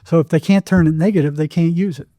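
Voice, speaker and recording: A man's voice: a little high, fairly even intonation, fast, warm tone, no room sound, studio quality. A man speaking as an interview guest, telling anecdotes and explaining with emphatic, repeated phrasing. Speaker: psychotherapist, podcast guest.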